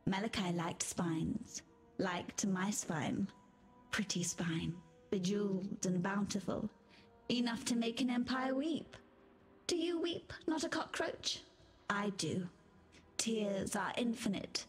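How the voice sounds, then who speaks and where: An actress playing a mad, weepy, fragile queen in a video game, speaking in slow, disjointed riddles. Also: medium pitch, natural voice. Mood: eerie, curious. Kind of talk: video game character dialogue. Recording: music behind the voice.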